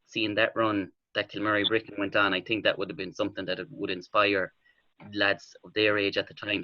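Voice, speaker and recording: An Irish man gives earnest sports analysis on radio. His voice is low, fairly flat and quick, with a forceful edge. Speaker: sports journalist, panel guest. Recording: slightly dull lo-fi radio sound.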